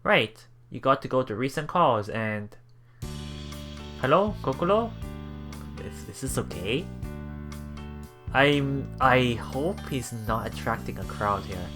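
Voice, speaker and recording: A man hosting a let's play, reading visual novel text aloud haltingly, with casual asides. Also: mid-range voice, lively intonation, over music. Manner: halting, stumbles and repeats words while reading.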